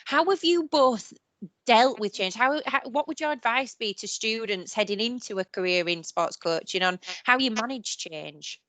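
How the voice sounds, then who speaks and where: A woman's voice: a little high, moderate pace, fairly bright tone, no echo. A woman, a careers adviser, hosting a Q&A on a video call in a chatty, hedging way. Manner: chatty, hedging, many "you know"s.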